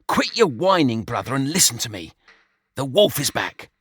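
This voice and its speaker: A man's voice: mid-range voice, big pitch swings, normal speed, fairly bright tone, husky, no echo. A man voicing a lecherous, whiny pig brother. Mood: urgent. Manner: boastful, dismissive, wailing. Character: lecherous slovenly male pig, boastful and whiny.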